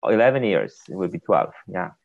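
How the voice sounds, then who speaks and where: A man's voice: sits low, very animated, fairly quick, vocal fry, phone-quality sound. A man with an Argentine accent and native Spanish, talking conversationally as a priest guest on a podcast, often repeating words mid-phrase. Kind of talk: casual conversational interview.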